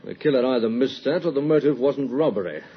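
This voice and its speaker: Husky, methodical police inspector. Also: male voice, mid-range voice, expressive, fast. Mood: pondering. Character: methodical, authoritative male Scotland Yard police inspector. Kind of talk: vintage radio drama acting. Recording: muffled vintage radio recording.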